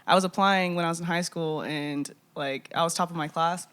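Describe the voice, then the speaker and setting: A young woman from Texas, guesting on a casual podcast. She talks in a lively, laughing way and swears freely. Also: lowish voice, a little fast, clear, resonant tone. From Austin, Texas.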